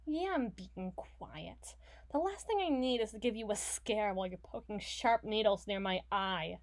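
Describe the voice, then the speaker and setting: A woman's voice: medium pitch, animated, normal speed, close to an everyday voice. A woman playing a foul-mouthed, stubborn villain in an audio roleplay, blustering and sarcastic with her partner. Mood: gruffly softened. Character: brash, foul-mouthed, hot-tempered villain woman, gruffly soft on partner. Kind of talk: ASMR roleplay voice acting.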